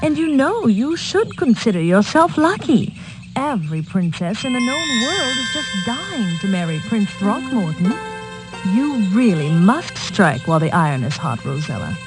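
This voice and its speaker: An actress playing a fussy, matronly queen mother in an animated game, speaking in an insistent manner. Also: a little high, moderate pace, low-quality recording. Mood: coaxing. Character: fussy, coaxing queen mother pushing marriage. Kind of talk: animated game cutscene acting. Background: loud music.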